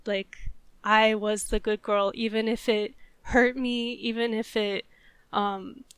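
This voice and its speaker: A woman's voice: fairly high pitch, little pitch movement, slow, smooth, no echo. A woman co-hosting a podcast, talking through a topic in a casual, analytical and thoughtful way. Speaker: podcast host.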